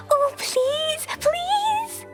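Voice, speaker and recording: A woman's voice: very high-pitched, expressive, drawn-out words, music in the background, full character voice. A woman voicing an eager, earnest and stubborn little girl in a video game, with a sweet, childlike voice. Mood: pleading. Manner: earnest, pleading, refers to self by name. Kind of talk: video game character voice acting.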